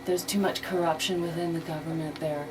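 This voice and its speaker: A woman's voice: deep voice, steady tone, brisk, a crowd in the background. A woman speaking conversationally as a charity organizer being interviewed as a guest on the radio. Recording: clearly noisy radio interview recording.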